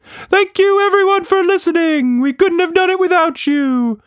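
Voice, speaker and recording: A man's voice: very high-pitched, normal speed, no echo, studio quality, voice pushed up. A man voicing a zany, friendly, playful magical monster for a children's story. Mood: showy, grateful.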